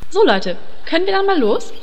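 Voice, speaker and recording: A woman's voice: very high voice, sing-song, a little fast, long reverb tail, a little hiss or hum, heavily acted. A woman voicing a bossy, teasing female priest who leads the group in a game parody radio play.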